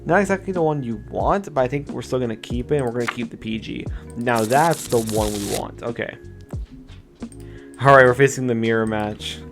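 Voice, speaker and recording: A young man with a slightly raspy voice, chatting casually through a card game deck test and explaining his plays. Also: medium pitch, fairly quick. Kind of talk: casual gameplay commentary. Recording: music playing underneath.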